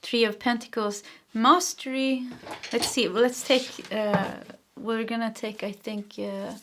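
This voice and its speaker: A woman's voice: a little high, steady tone, takes it slowly, background noise. A woman from Sweden with a Swedish accent, a non-native English speaker, giving a casual pick-a-card tarot reading and often stopping to search for words. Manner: chatty and searching, with frequent fillers and self-corrections. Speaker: tarot reader.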